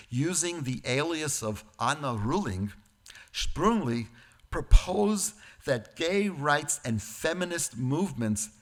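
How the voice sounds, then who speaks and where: A man with a medium-pitched voice gives a prepared, lecture-like reflection as a speaker at a church service. He moves deliberately from phrase to phrase. Now and then he steps away from the formal text for a dry, conversational aside. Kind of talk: prepared lecture-style sermon with informal asides.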